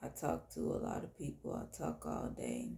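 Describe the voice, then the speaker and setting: Female late-night host, Southern US accent, hoarse and fry-heavy, expressive swings in pitch, intimate solo delivery. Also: normal speed, echoey room. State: hoarse, tired voice. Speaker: podcast host.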